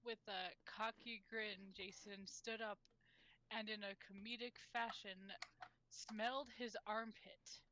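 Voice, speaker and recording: A woman's voice: medium pitch, fairly even intonation, slow, background noise. A woman reading fan fiction aloud at home, self-conscious and giggly. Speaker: reader in a group reading. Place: home, with a roommate nearby.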